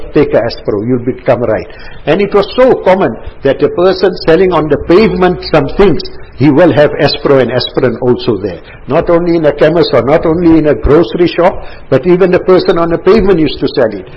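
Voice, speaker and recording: A man's voice: on the high side, slightly rough. A man in his sixties giving a religious talk to a seated gathering indoors, rambling and repetitive, full of anecdotes. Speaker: religious teacher. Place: indoor gathering room with carpet. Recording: dull lo-fi recording.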